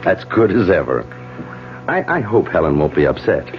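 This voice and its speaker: An actor playing an affable, polite young brain surgeon in a 1970s radio drama, courteous and a little hesitant. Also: male voice, low voice, very animated, moderate pace. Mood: pleasant, relaxed. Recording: dull 1970s radio recording, faint music.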